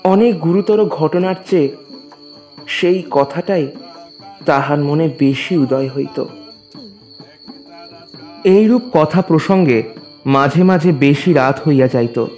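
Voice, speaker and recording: A man narrating a literary story as a scripted reading, in a formal, measured storytelling manner. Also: a little high, darkish tone.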